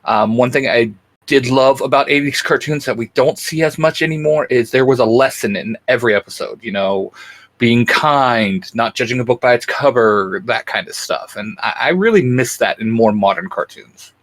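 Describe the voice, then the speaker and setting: A man's voice: mid-range voice, moderate pace, a bit bright. A man co-hosting a fan show, chatting casually and informally. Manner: informal, conversational. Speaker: co-host of a cartoon fan channel. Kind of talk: casual chat, fan commentary.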